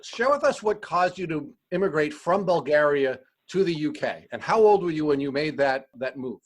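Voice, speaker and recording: A man's voice: a little high, expressive, moderate pace. A man hosting an interview podcast, reading prepared introductions and putting questions to a guest.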